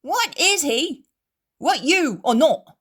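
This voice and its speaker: A woman reading a story aloud, voicing a robust male innkeeper who shouts and threatens with scorn. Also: fairly high pitch, huge pitch swings, very slow, slightly rough, voice pushed up, heavily acted. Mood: defiant, furious. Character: robust burly male innkeeper, fierce and mocking.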